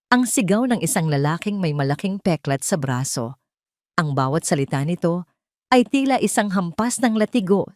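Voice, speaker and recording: A woman's voice: on the low side, a little mellow, no echo, studio quality. A woman narrating a scripted story in a dramatic storytelling style.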